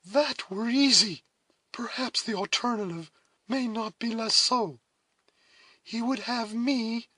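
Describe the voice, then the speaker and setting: A man voicing a noble young woman, a solemn elder sister in a storybook, speaking with deliberate, heavy emotion. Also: no echo, exaggerated voice, low-quality recording. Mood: desperate pleading. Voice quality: high, forceful.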